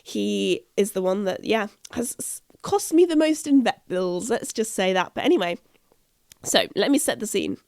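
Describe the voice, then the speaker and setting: A British woman hosting a podcast, chatting in a rambling way with a croaky, husky voice from a chest infection. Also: mid-range voice, animated, normal speed, a little mellow, no echo. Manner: chatty, rambling, self-interrupting. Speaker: podcast host. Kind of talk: casual podcast chat. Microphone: podcast microphone.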